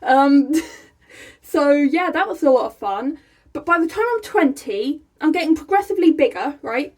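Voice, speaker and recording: A young woman from England, chatting casually to her camera in a small room, excitable and laughing often. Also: high voice, moderate pace. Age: young adult. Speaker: vlogger. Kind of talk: casual vlog chat. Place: small room by a rainy window, indoors.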